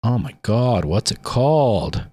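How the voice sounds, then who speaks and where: A man's voice: medium pitch, sing-song, a little slow, dark tone. A man from Canada with a light Canadian accent, chatting casually with viewers on a livestream, rambling off on tangents and answering viewer comments.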